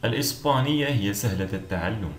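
A man teaching language, reading each short phrase with clear, deliberate pronunciation and repeating it several times. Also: on the low side, lively intonation. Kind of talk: slow repeated phrase drills. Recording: echoey room with faint noise.

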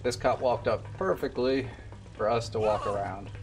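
A man's voice: medium pitch, normal speed. A man in his twenties giving casual live commentary as he streams a game run. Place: indoor room at a streaming desk. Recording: distant mic, game noise behind. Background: game sound and music.

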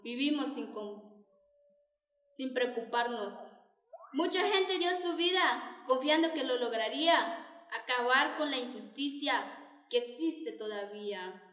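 A young woman, a native Zapotec speaker from Oaxaca, declaiming a poem slowly and emphatically as a student orator on an auditorium stage. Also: high voice, fairly bright tone. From Oaxaca, Mexico. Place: large indoor auditorium stage. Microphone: stand mic, filmed from far off. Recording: far-off roomy hall recording.

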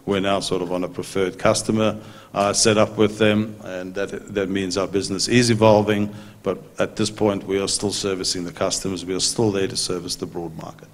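A middle-aged man on a conference panel, speaking as a maintenance company executive in a measured, businesslike way into a handheld mic. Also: low voice, level tone. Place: large conference hall stage. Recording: long hall reverb.

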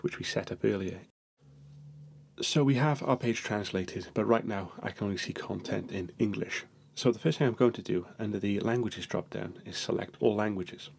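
A man narrating a software screencast tutorial, explaining each step in a steady manner. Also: on the low side, vocal fry, husky.

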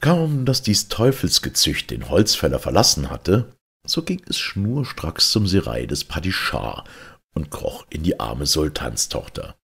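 A man reading a fairy tale as a storyteller, in a dramatic, expressive and deliberate manner. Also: very deep voice, creaky, husky.

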